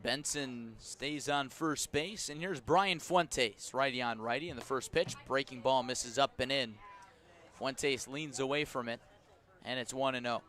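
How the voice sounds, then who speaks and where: An American man doing live radio baseball commentary, descriptive and clipped, with phrases that quicken and lift on the action. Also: medium pitch, a bit bright. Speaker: baseball play-by-play announcer. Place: outdoor baseball stadium at night.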